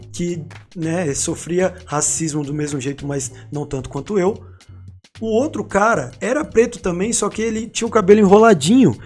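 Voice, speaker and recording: A man's voice: a little high, darkish tone. A man in his thirties from São Paulo with a Paulista accent, telling his life story to camera in a casual, reflective way with some street slang. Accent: Paulista Brazilian Portuguese. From São Paulo, Brazil. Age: 30s. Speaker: YouTuber.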